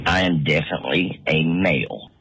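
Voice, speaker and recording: An adult man with a raspy voice leaving an emphatic, defensive voicemail for a radio show. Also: very deep voice, lively intonation, moderate pace, fairly bright tone, no room sound.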